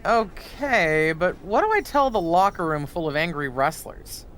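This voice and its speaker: An actress playing a harried, wry wrestling official in a conversational video game cutscene. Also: female voice, on the low side, sing-song, unhurried, a bit bright. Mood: exasperated. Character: harried wrestling show official juggling complaints. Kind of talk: conversational scripted cutscene acting.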